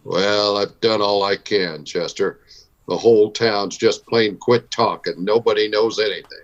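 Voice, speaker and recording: A man's voice: fairly low pitch, fairly even intonation, unhurried, muffled recording, close to an everyday voice. A man playing a weary, steadfast frontier marshal in a recreated vintage radio western, speaking tersely and firmly. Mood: resigned. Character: weary, steadfast male U.S. marshal in an old West town.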